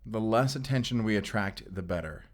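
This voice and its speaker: A man's voice: fairly low pitch, moderate pace, close to an everyday voice. A man playing a guarded, protective vampire slayer in an audio roleplay, speaking frankly and sternly. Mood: exasperated. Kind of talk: cinematic audio roleplay addressed to the listener.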